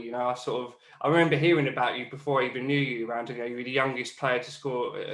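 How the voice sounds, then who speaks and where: A man's voice: mid-range voice, fairly bright tone, echoey room. A Geordie man hosting a podcast, chatting in a casual, matey way and asking leading questions. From Newcastle, England. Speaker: podcast host. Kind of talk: casual interview.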